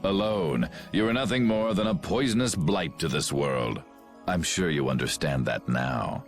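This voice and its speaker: A man's voice: low-pitched, expressive, a little slow. An actor playing a cold, scheming commander who mocks his captives in a game cutscene. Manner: cold, gloating, mocking laughter. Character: scheming ruthless male commander, middle-aged. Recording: game audio with music behind.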